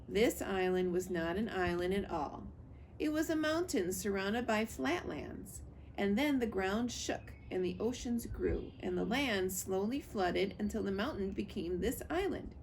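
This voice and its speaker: Wise elderly turtle for a storybook female reader, grave, deliberate, creaky delivery. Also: mid-range voice, animated, slight background noise, poor audio. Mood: grave, knowing. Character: wise, solemn ninety-three-year-old turtle elder. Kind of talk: storybook character voice.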